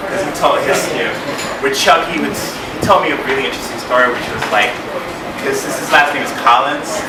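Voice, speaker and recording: A man filmmaker talking casually at a screening Q&A, rambling with lots of fillers and restarts. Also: medium pitch. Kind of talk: casual Q&A talk. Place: screening room. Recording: echoey hall from far off with loud background noise.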